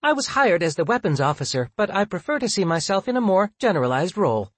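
A man's voice: high voice, very animated, quick pace, no room sound, pitched above the performer's own voice. A man voicing a fussy, chirpy, self-satisfied robot drone with prim, formal manners, as in an audiobook. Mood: eager, prim. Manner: prim, formal, mock-polite phrasing.

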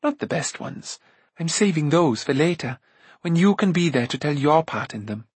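A man's voice: fairly high pitch, lively intonation, breathy edge, no room sound, voice pushed up. A man voicing a headstrong young princess from a storybook, chattering and exclaiming with eager indignation. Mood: excited, scornful. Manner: rushed, breathless, exclaiming chatter.

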